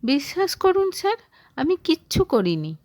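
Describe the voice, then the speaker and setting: Earnest, wronged young assistant for audio drama woman performer: high, animated, pleading yet courteous. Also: normal speed, no echo. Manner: pleading, indignant.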